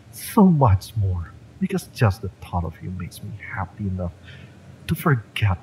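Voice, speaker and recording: Man acting as slow, impassioned mercenary lover. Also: mid-range voice, slight background noise, rough recording. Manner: impassioned, emphatic, halting delivery with breathy drops. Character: fiery, devoted male mercenary lover, tough yet tender. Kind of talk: romantic audio roleplay acting.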